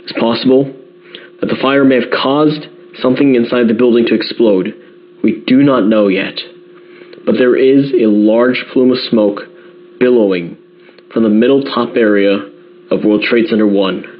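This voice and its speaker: A grave radio newsman acted by a man reports breaking news in an audio drama. His mid-range voice is measured and careful, repeating key details. The broadcast sound is a little dull. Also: mid-range voice, steady tone, close to an everyday voice.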